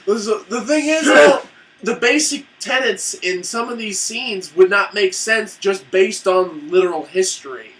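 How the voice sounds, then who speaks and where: A young man riffs through a bad-movie review with a high, bright voice, swearing and tossing in "and whatnot". He sits a little back from the mic. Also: unhurried, far from the mic. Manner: wisecracking, profane, fond of "and whatnot". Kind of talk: casual banter.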